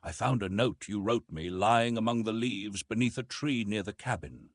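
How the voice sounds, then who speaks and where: A man's voice: fairly low pitch, moderate pace, no room sound, lightly acted. A man voicing a young woman's tender, sincere letter in an audiobook, formal and heartfelt. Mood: tender, regretful.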